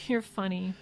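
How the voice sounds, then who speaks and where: An actress playing a worried, patient adult daughter in an audio drama, earnest and gently insistent. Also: female voice, mid-range voice, vocal fry, rough recording. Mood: tender, patient.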